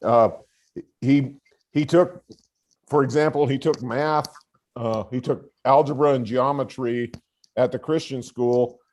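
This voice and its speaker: Big-voiced older man, earnest and booming. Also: steady tone, unhurried. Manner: loud, earnest, frequent uh fillers. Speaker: school administrator and longtime coach. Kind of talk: explaining a case at a meeting.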